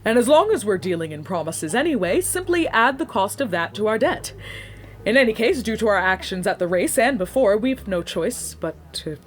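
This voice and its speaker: A woman's voice: medium pitch, sing-song, moderate pace, lightly acted. An actress playing an earnest, anxious woman in an audio drama, speaking in formal, wordy sentences with careful diction.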